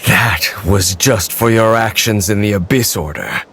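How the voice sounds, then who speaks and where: An actor playing a stern, bitter immortal knight in a video game, speaking in a cold, measured, formal way. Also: male voice, lowish voice, fairly bright tone, slight background noise. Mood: cold, menacing. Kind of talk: dramatic video game voice acting.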